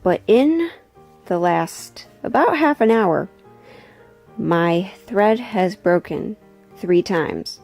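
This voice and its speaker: A woman's voice: medium pitch, animated, extremely slow, vocal fry, a little mellow, faint music behind. A woman hosting a sewing vlog, talking in an informal, candid and self-deprecating way as she chats and narrates her project. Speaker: sewing vlogger and host.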